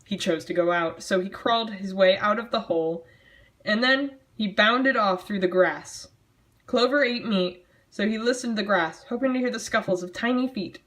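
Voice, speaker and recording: A woman's voice: mid-range voice, a bit bright. Woman doing a mock-dramatic reading, sardonic. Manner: theatrical, self-mocking, sardonic. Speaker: amateur storyteller reading own childhood writing. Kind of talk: mock-dramatic reading aloud with casual snarky asides.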